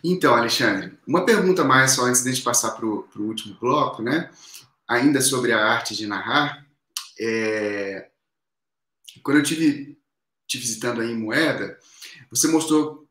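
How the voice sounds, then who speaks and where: Male interviewer, hesitant and circling, mid-pitched voice, roomy echoey sound. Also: bright, forceful tone. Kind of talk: academic interview questions.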